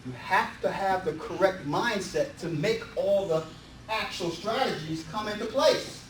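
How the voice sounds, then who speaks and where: Guy doing a motivational seminar talk, pretty high voice, kinda far from the mic. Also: unhurried. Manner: emphatic, repetitive, rhetorical questions. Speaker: real estate seminar presenter. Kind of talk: motivational presentation. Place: indoor meetup presentation room. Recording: distant, echoey live room with background noise.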